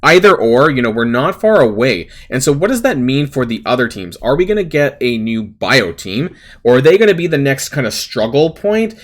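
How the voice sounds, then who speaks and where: A man in the middle of his pitch range talks casually to his channel's viewers about a game. He rambles, stacking fillers and correcting himself as he goes, and his voice has turned a little raspy. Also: sing-song, normal speed. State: slightly raspy voice. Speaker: gaming channel host. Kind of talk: casual gaming commentary to camera.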